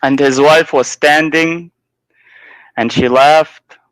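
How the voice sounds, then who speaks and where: A man's voice: a little high, steady tone, takes it slowly, a bit bright, dry recording, poor audio. A man from Egypt, living in the US, speaking hesitantly in a debate call and conceding his point. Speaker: caller.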